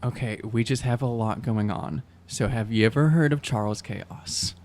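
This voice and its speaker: A man's voice: mid-range voice, normal speed, a little mellow. A man in casual improvised roleplay as a player on a tabletop game podcast, hesitant and dry.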